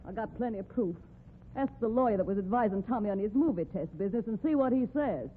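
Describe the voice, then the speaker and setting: An actress with an American accent playing a sharp-tongued, scheming cowboy's wife in old radio drama, with combative, slangy folksy speech. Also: female voice, medium pitch, lively intonation, fast, slight background noise, lightly acted. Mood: defiant. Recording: tinny, narrow-band old radio recording.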